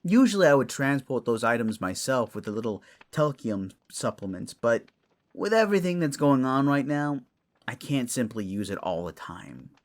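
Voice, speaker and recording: A man acts out a clawed, furry fantasy steward with a very animated, mid-pitched voice that rises and falls freely. He is a theatrical, scholarly tinkerer, given to grumbling and long explanations. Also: normal speed, natural voice. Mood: explanatory. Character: furred, clawed male creature, a roguish scholarly artifact-tinkering steward. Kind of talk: fantasy audio drama acting.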